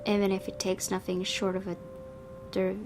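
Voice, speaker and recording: A woman's voice: medium pitch, monotone, normal speed, a little mellow, a little hiss or hum. A woman hosting a gaming video, giving casual commentary and reading the story narration aloud. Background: game music.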